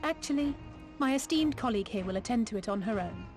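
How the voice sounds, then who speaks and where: An actress playing a shrewd, confident young negotiator in a video game, with formal, eloquent, old-fashioned phrasing. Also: female voice, on the high side, animated, quick pace, soft background music. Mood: confident, persuasive. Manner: formal, articulate, archaic phrasing.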